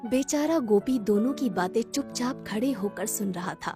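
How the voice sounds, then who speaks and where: A woman's voice: on the high side, animated, moderate pace, music in the background. A woman narrating a scripted children's story.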